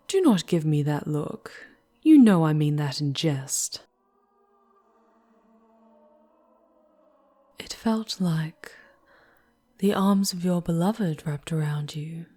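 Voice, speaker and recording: A woman acts out an alluring female demon guide for an ASMR roleplay. Her voice is fairly low and husky with breath, often close to a whisper. She takes her time over formal, storybook phrases, affectionate and teasing toward the listener. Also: warm tone, heavily acted. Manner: slow, formal, old-fashioned storybook diction.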